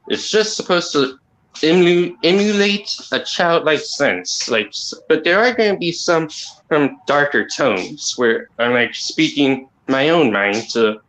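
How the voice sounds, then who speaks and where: A man with an accent, talking quietly and haltingly in a casual call discussion as the host, rambling and restarting his sentences. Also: a little high, animated, poor audio. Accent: non-native or regional accent. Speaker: channel host.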